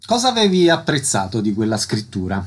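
A man's voice: lowish voice, wildly swooping pitch, a little fast, a little hiss or hum. A man hosting a radio culture programme, presenting listings and putting questions to a guest. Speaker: radio host and interviewer.

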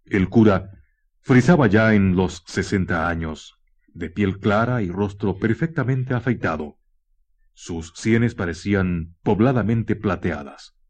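A man narrating a scripted radio horror drama in a grave, dramatic manner with deliberate pauses. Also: on the low side, a little mellow, slightly rough.

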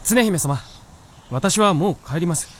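An actor playing a fox spirit disguised as a young merchant, polite, wry and easily flustered, in a period radio drama. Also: male voice, sits high, expressive, measured pace, slight background noise. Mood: wry, reflective.

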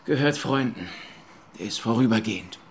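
A man's voice: medium pitch, animated, normal speed, natural voice. An actor playing a cocky, chummy young man, a teasing and slangy dreamer with theatrical flair, in a radio drama. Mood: wary. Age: young adult. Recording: noisy ambience behind the voice.

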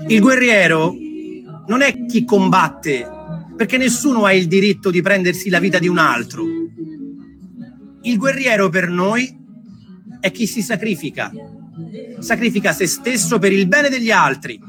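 A high-pitched man performs a reflective, theatrical monologue. He shifts between slow, weighted phrases and quicker ones, pausing for drama. Music plays clearly behind his voice. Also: lively intonation. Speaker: storyteller, stage performer.

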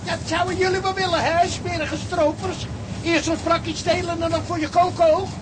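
A man's voice: very high-pitched, little pitch movement, moderate pace. A man acting as a grumbling but servile ship's cook in a radio drama. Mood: indignant. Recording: clear engine-like noise behind.

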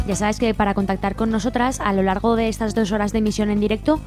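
A woman's voice: mid-range voice, fairly even intonation, quick pace, loud music behind the voice. A woman with an accent from Spain co-hosting a radio programme, presenting from a script. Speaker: radio co-host.

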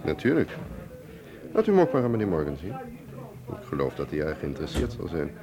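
A man playing a shrewd, self-assured investigator in a radio drama, with a suave, deliberate way of talking. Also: low voice, big pitch swings, crowd noise. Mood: smooth, tactful. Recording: radio play with music underneath.

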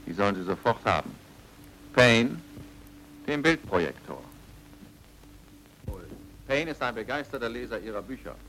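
A man's voice: medium pitch, expressive, a little slow, long pauses, a bit bright, poor audio. An actor playing a serious, terse man in a radio crime drama. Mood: brisk, stern.

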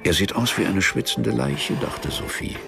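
A man narrating a literary radio drama in a measured, detached and deliberate manner. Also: on the low side, big pitch swings, creaky, heavily acted. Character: detached literary narrator of a drugged man's ordeal. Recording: music under the voice.

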